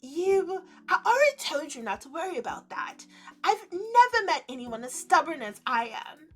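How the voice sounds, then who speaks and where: A woman voicing a reserved, serene male winter spirit in a romance game, speaking in a measured, earnest, formal way. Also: very high-pitched, very animated, fairly bright tone, full character voice. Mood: earnest reassurance.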